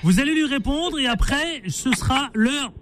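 A man's voice: extremely high pitch, animated, moderate pace, loud music behind the voice. A man hosting a live radio phone-in, interjecting to moderate callers and call them to order. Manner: interjecting, calling speakers to order.

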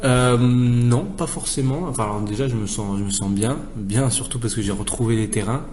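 A man's voice: mid-range voice, moderate pace, a little mellow, slight background noise. A young man, a professional footballer, answering interview questions casually and explaining himself at length in a conversational way. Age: young adult.

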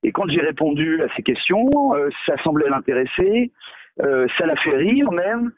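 A man's voice: on the high side, expressive, moderate pace, dry recording, poor audio. A male novelist in a radio interview, talking hesitantly with many fillers and restarts, mocking himself. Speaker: novelist guest.

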